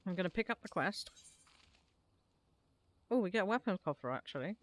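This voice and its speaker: A woman's voice: mid-range voice, expressive, fairly quick, no room sound. A woman giving casual, chatty, self-mocking commentary while she plays a game. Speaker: gaming streamer. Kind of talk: casual gameplay commentary with read-aloud game dialogue. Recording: music behind the voice. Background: music.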